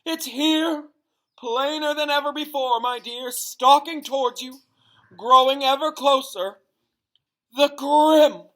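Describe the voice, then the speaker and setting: A man voicing a theatrical, dreamy woman fortune-telling teacher in a misty, drawn-out voice for a storybook read-aloud. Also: extremely high pitch, bright, forceful tone, voice pushed up, heavily acted, low-quality recording. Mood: alarmed, dramatic. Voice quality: misty, breathy delivery. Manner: drawn-out, dramatic, airy.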